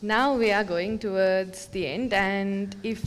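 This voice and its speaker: A woman's voice: medium pitch, steady tone, slow pace. A woman moderator politely thanking the panel and inviting comments. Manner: polite, brief. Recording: long hall reverb.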